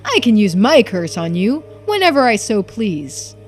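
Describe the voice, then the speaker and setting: An earnest, kind gentleman protagonist from a mystery game, as a woman reads him aloud. The voice sits at a middle pitch and is theatrical and quietly resolute, over music. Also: sing-song, unhurried. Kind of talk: video game character dialogue read-aloud. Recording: music behind the voice.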